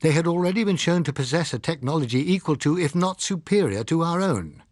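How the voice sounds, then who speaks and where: A man's voice: on the high side, expressive, a little fast, darkish tone, dry recording, studio quality, pitched above the performer's own voice. A British man voicing an earnest, bitter dissenting scientist in an audiobook, speaking in a formal, grave and insistent way. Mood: urgent warning. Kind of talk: audiobook character reading.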